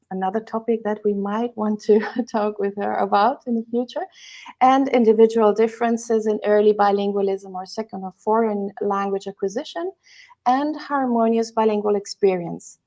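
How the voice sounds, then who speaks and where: A woman co-hosting a live online interview, introducing the guest and asking questions in a measured way. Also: a little high, darkish tone. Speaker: co-host.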